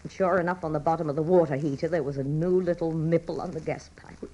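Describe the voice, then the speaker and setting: An actress playing a weary, fiercely loyal older wife and mother in a radio drama, pleading emphatically in a husky voice. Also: low-pitched, fairly even intonation, quick pace, warm tone, slight background noise, natural voice. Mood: anguished. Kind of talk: dramatic radio play acting.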